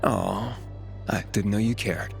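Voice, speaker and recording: Game role: determined young man, confident and plain-spoken, slightly deep, with music behind. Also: fairly even intonation, takes it slowly, gravelly, full character voice. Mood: confident, teasing. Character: determined, cocky young male survivor hero. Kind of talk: video game voice acting.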